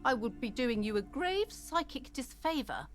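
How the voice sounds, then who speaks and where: A woman's voice: high voice, sing-song, moderate pace, faint music behind. An actress voicing a prim, snobbish female bookshop owner who lectures customers in a mannered, haughty way. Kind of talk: video game character voice.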